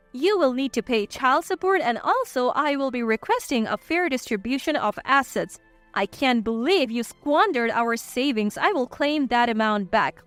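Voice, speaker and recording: A woman's voice: sits high, a bit bright, dry recording. A woman voicing a cool, resolute wife in a narrated divorce story, firm and dismissive.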